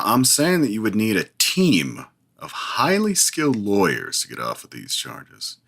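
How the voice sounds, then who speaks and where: A man playing a hapless male lawyer, husky and gravelly, rambling hesitantly. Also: low voice, a bit bright, full character voice. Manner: hesitant, rambling legal talk.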